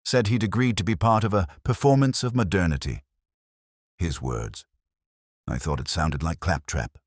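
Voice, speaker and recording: A man's voice: sits low, moderate pace, no echo, clean studio sound. Man voicing a blunt, no-nonsense woman councillor. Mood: matter-of-fact, sceptical.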